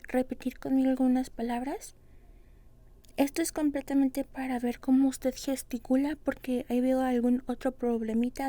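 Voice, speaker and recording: A woman doing a soft-spoken, often whispered ASMR roleplay as a dentist, with a breathy, gentle and reassuring way of talking. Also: high voice, dry recording. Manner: gentle, reassuring, using many diminutives.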